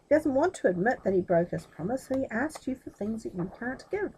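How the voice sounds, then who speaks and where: A woman's voice: fairly high pitch, expressive, a little fast, warm tone, breathy edge, heavily acted, rough recording. A woman voicing a humble, anxious, devoted mother in a storybook tale. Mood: dismayed.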